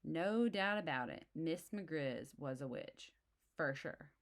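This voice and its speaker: A woman's voice: deep voice, animated, takes it slowly. A woman reading a storybook aloud expressively for young children, adding simple explanatory asides. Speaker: storybook reader.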